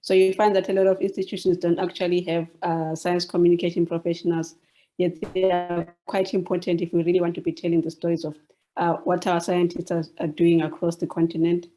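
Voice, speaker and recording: Female presenter, Zimbabwean accent, warm dark tone, lower register, self-introduction at a webinar. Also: level tone, fairly quick, faint music behind, low-quality recording. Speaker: journalist and science communication presenter.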